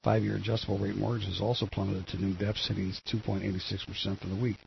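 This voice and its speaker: An older man with a gravelly voice, hosting a rambling solo commentary on the news, full of fillers and restarts. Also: on the low side, level tone, quick pace. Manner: meandering, many fillers and restarts. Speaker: solo show host. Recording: dull lo-fi with faint noise.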